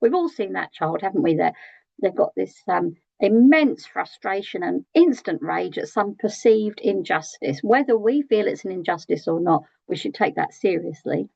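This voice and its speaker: Croaky British woman giving a training talk. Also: medium pitch, animated, normal speed, mellow, no echo, highs cut off. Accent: British English. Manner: conversational, asks tag questions, frequent ums. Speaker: early years trainer.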